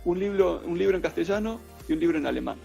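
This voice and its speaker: A man's voice: sits high, expressive, fast talker, gravelly, mellow, highs cut off, soft background music. A middle-aged man with a Buenos Aires accent, telling his life story as an interview guest in a rambling way, full of fillers. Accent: Buenos Aires Argentine Spanish. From Argentina. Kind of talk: casual interview.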